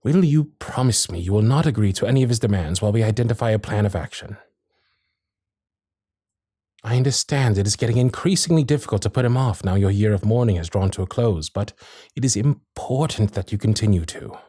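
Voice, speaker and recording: A man playing a courteous, protective Victorian gentleman suitor in a romantic period ASMR roleplay, with formal, old-fashioned phrasing and dramatic pauses. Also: on the low side, lively intonation, slightly rough, no room sound, clean studio sound. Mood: reassuring, resolute. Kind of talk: romantic period ASMR roleplay, intimate one-sided dialogue.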